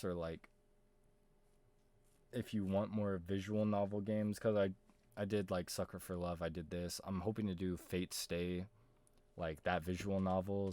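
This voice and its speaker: A man's voice: fairly low pitch, warm tone, smooth, no room sound. A man giving casual, rambling gaming commentary in slangy, informal speech. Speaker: gaming video host.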